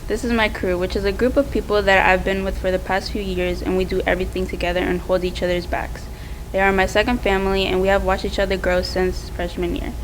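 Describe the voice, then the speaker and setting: A woman's voice: mid-range voice, flat delivery, quick pace, low-quality recording. Teen girl reading her prepared speech about herself, bit of background noise. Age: teens. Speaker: student award presenter.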